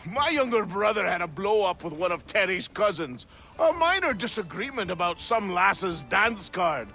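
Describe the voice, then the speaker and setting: The rough settler who gets worked up by the tavern door in a colonial game, piercingly edged and colloquial, leaping about in pitch. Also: male voice, normal speed, soft background music, heavily acted. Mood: amused, boisterous. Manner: colloquial, animated. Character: excitable rough frontier settler, boisterous and anxious. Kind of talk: video game dramatic acting.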